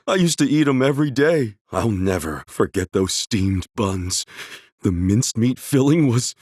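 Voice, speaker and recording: A man playing a chatty, excitable townsman in a video game. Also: lowish voice, a little slow, dry recording, clean studio sound. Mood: wistful. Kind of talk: video game NPC lines.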